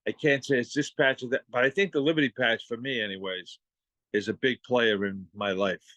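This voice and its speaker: A man with a Boston accent telling personal stories casually and haltingly as a participant on a video call. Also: mid-range voice, fairly even intonation, sharp tone, dry recording. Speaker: meeting participant giving a testimonial.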